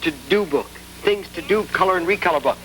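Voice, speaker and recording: A man's voice: sits high, fast talker, a bit bright. A man hosting a live children's television game show, playfully prompting young contestants in an old broadcast recording. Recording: noisy old TV broadcast archive.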